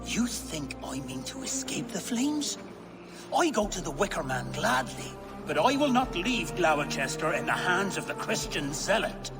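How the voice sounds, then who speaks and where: A brazen, scheming chieftain from a Dark Age video game drama. His voice sits a little high and leaps around freely. He sounds teasing and sardonic, with music clearly behind him. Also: big pitch swings, unhurried, big-room sound, heavily acted. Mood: defiant, fervent.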